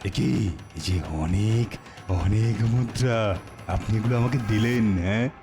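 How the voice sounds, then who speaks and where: A man's voice: fairly low pitch, slow pace, loud music behind the voice. An actor playing a sly showman magician in a cartoon, theatrical and repeating phrases for suspense. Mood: amazed, eager. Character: sly showman street magician, eager for coins. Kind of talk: cartoon voice acting.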